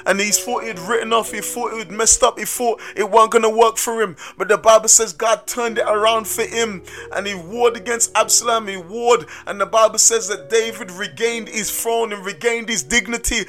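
A man's voice: high-pitched, steady tone, moderate pace, hardly any pauses, a bit bright. A man preaching a sermon in a sing-song cadence, often urging "listen to me". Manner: repeats "listen to me".

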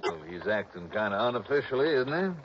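An easygoing police captain, talks in a low, folksy, musing voice. It is 1960s radio acting, slightly noisy and muffled. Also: male voice, big pitch swings, brisk. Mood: matter-of-fact. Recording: dull, noisy old radio recording.

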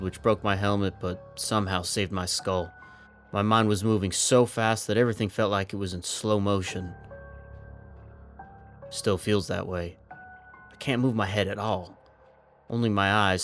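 A man playing a stranded young army corporal in an audio drama, resigned and stoic, measured and wry. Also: lowish voice, natural voice. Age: young adult. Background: background music.

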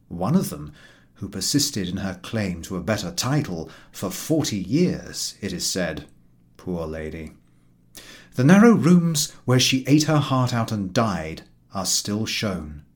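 A man's voice: on the low side, sing-song, a little slow. A man reading a literary travel narrative aloud as an audiobook narrator. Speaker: audiobook reader.

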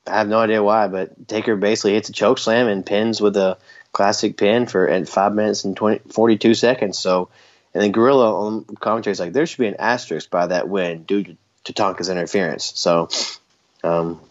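A man's voice: lowish voice, steady tone, fairly quick, lo-fi sound. A man co-hosting a podcast in casual chat, rambling and joking with frequent laughs. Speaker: podcast co-host.

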